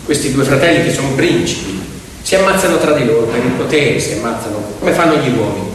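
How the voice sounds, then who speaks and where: A man telling a story theatrically, emphatic and full of pauses. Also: mid-range voice, noisy background. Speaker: stage actor and storyteller. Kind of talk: theatrical storytelling to piano accompaniment. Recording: echoey hall, distant mic. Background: piano music.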